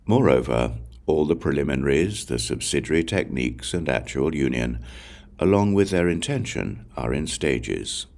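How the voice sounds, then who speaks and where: An extremely low-voiced man narrates a sacred text with a creaky, gravelly grain. His delivery is measured and solemn, set out with deliberate pauses, while his intonation moves widely up and down like a formal recitation. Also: a little mellow. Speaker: audiobook reader. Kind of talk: scripted narration of a Buddhist scripture.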